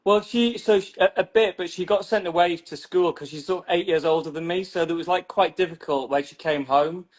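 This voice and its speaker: A man's voice: sits high, a little fast, few pauses. A British man from Newcastle, a stand-up comedian chatting loosely about his act, full of "like" fillers and self-mockery. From Newcastle, England. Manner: rambling, many "like" fillers, self-mocking.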